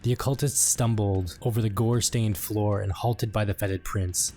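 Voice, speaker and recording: A man giving a solemn, portentous scripted narration of dark fantasy lore. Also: fairly low pitch, steady tone, normal speed. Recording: background music under the voice.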